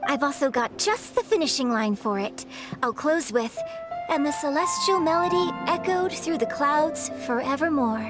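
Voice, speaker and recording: A graceful young opera singer from a video game. Her voice is high and her manner refined, with a gentle teasing side. Music is clearly heard behind her. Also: lively intonation, moderate pace. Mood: proud. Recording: prominent music under the voice.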